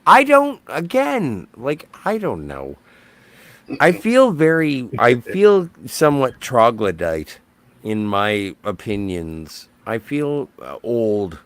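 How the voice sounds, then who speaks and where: A man's voice: mid-range voice, wildly swooping pitch, slow, no echo. Blunt rambling male show host. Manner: blunt, rambling, jokingly self-important. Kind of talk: casual panel chat.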